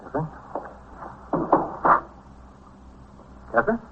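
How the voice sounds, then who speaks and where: A man's voice: racing, close to an everyday voice. An actor with an American accent playing a middle-aged patrol sergeant and father in a vintage radio drama. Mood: anxious. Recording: tinny, noisy vintage radio recording.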